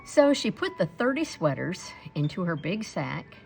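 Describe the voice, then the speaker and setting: A woman reading a picture book aloud to children in an expressive storytelling style. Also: on the low side, a little slow, creaky, faint music behind. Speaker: storybook read-aloud reader.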